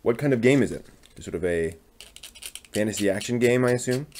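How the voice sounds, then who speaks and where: A man casually talking through a hands-on hobby build, halting and muttering to himself as he works. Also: mid-range voice, animated, darkish tone, slight background noise. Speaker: hobby channel host building a model kit on stream.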